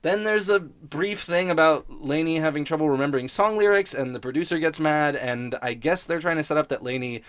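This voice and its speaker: A man hosting a TV criticism podcast in a casual, rambling, halting and sardonic way, prone to swearing. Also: on the high side, a bit bright.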